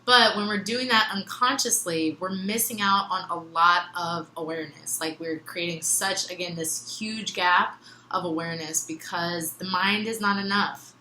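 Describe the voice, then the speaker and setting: Bright-voiced woman musing in an echoey room. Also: lowish voice, fairly even intonation, moderate pace. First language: other than English. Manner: meandering, earnest, laughs often, many fillers. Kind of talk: reflective casual monologue. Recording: echoey room, faint ambient noise.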